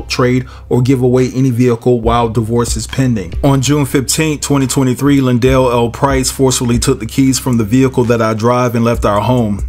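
A man's voice: mid-range voice, darkish tone. Nasal man with a head cold. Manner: casual, addresses viewers as y'all and guys. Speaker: commentary channel host. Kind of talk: reading a court document aloud, then commentary.

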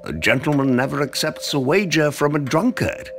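A man acts as an aging, formal butler in a motion-comic drama. His fairly high voice is measured and dry, politely disapproving, with faint music underneath. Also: animated. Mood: dryly disapproving. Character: older male butler, proper, dry, patiently disapproving. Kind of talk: comic-book drama dialogue.

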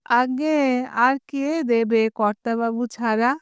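A woman's voice: fairly high pitch, takes it slowly, smooth, no echo, studio quality. A woman voicing a meek, simple-minded male mason who answers with humble, fawning politeness, in a storybook reading.

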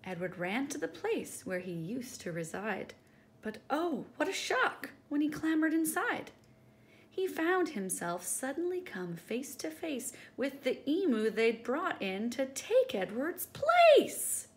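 A woman reading a children's picture book aloud as a storytime teacher, expressive and speaking directly to young listeners. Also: high-pitched, slow pace.

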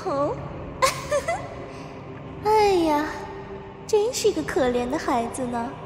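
An actress playing a cruel, gloating younger sister in a Chinese costume audio drama, mocking in a drawn-out, sing-song way. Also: female voice, high voice, lively intonation, full character voice. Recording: long echoing reverb, music underneath.

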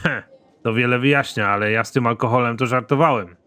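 A man playing a gruff, trigger-happy, hard-drinking space soldier in an animated comedy, with a crude, deadpan, grudging delivery. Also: medium pitch, a little fast, soft background music, close to an everyday voice.

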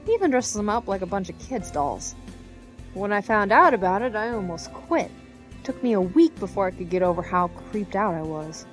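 Actress as young female student, chatty, candid, medium pitch, audio drama dialogue, soft music behind. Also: lively intonation, moderate pace, natural voice. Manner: conversational, candid.

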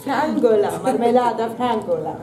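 A woman's voice: a little high, expressive, reverberant, noisy background. A young woman chatting playfully with friends at a guesthouse breakfast, teasing and mock-bossy. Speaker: vlogger. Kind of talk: playful casual chat among friends.

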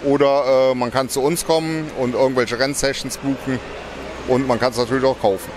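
A man's voice: medium pitch, steady tone, brisk. A man in his forties explaining and coaching in plain, short, matter-of-fact instructions. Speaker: company boss, simulator instructor. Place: busy event hall with racing simulators. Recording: distant mic, loud music and vehicle noise behind.

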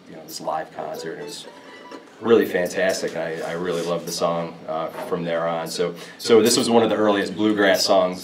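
Low-voiced young man, halting chat. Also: distant mic. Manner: halting, full of ums and restarts. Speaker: band member introducing a song. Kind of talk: casual on-stage chat. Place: small classroom, indoors.

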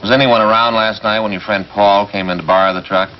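A middle-aged actor with an American accent playing a persistent police sergeant questioning a suspect in a businesslike way. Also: male voice, fairly low pitch, steady tone, fast talker, close to an everyday voice. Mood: pressing. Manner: businesslike, interrogating. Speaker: police detective. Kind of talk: 1950s TV drama acting. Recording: dull 1950s TV soundtrack, faint hiss.

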